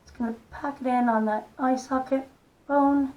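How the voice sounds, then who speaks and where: A middle-aged woman with a croaky voice, gently talking through a makeup tutorial in a soft, unhurried way. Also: high voice, steady tone, dull audio. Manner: soft-spoken, unhurried, stops and restarts gently. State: hoarse, croaky voice. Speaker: makeup vlogger. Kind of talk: casual makeup tutorial talk.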